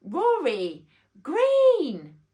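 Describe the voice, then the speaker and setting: Imperious female bee monarch, performed by a woman reader: high, swooping, commanding, storybook style. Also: huge pitch swings, very slow, warm tone, full character voice. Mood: loud, insistent. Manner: grand, projecting, commanding.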